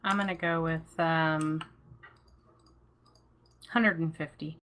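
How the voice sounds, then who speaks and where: A woman's voice: low voice, fairly even intonation, slow pace, fairly bright tone. A woman chatting casually as a game panelist, tentative and hesitant in her answers, with keyboard clicks. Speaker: panelist guessing in a trivia game. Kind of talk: casual chat.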